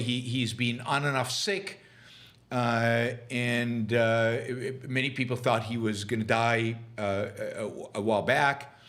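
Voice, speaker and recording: Halting, scornful male commentator. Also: mid-range voice, fairly bright tone. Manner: halting, many "uh" fillers and restarts; scornful, sarcastic. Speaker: podcast host. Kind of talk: political commentary monologue.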